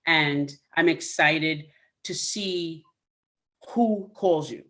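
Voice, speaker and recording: A woman's voice: deep voice, drawn-out words, a bit bright. A woman hosting a podcast interview, upbeat and encouraging, turning playful when she urges listeners toward her guest. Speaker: podcast host. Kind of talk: casual interview chat.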